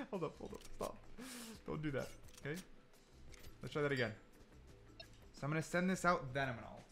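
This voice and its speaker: A man's voice: on the high side, huge pitch swings, moderate pace, pauses often, pushed and bright, faint music behind. A man giving casual, slangy gameplay commentary, thinking aloud as he goes. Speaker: gaming content creator.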